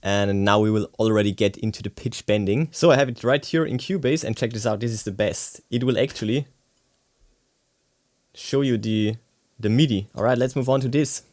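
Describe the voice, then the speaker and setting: A man's voice: fairly low pitch, moderate pace, darkish tone, no room sound. A man giving a casual, enthusiastic product demonstration as a tech reviewer, with exclamatory delivery. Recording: music playing behind the voice. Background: synth and guitar music.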